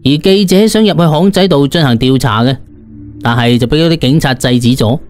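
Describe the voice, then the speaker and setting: A man's voice: mid-range voice, a little fast, a little mellow, soft background music. A man with a Cantonese accent narrating a first-person audiobook in a colloquial, dramatised storytelling style.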